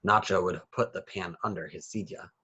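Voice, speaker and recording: A man's voice: low-pitched, brisk, sharp tone, breathy edge, highs cut off. A man reading a children's picture book aloud with rhythmic, sing-song phrasing. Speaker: children's story reader.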